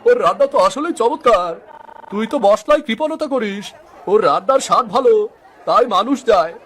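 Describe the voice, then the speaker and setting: A man's voice: high voice, expressive, brisk, fairly bright tone, slight background noise. A man voicing a blunt, teasing village companion in an exaggerated cartoon style.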